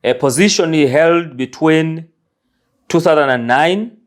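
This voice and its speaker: Guy hosting, slow and earnest, leans on his words. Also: a little high. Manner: earnest, emphatic. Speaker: host. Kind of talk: formal introduction and interview.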